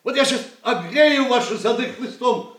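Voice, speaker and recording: An actor playing a blustering, commanding bully who barks orders theatrically in a radio drama. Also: male voice, extremely high pitch, lively intonation, moderate pace, a bit bright, echoey room, a little hiss or hum. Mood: barking, threatening.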